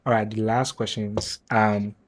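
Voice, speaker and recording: A man's voice: fairly low pitch, flat delivery, a little slow. A young man from Ghana with a Ghanaian accent, answering viewer questions in a casual vlog, chatty and hesitant, with many fillers and restarts. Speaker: YouTuber.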